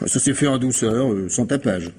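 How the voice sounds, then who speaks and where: An actor playing a hesitant, deferential bar employee with colloquial speech in a French radio mystery. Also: male voice, mid-range voice, moderate pace, darkish tone, a little hiss or hum, close to an everyday voice. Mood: flustered. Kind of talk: 1950s-style French radio mystery acting.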